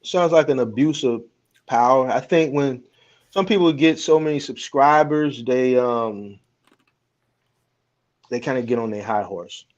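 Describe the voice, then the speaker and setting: A man's voice: mid-range voice, measured pace, long pauses, lo-fi sound. A man in casual conversation with a colloquial, slangy way of talking. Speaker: online trucking content creator. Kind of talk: casual chat.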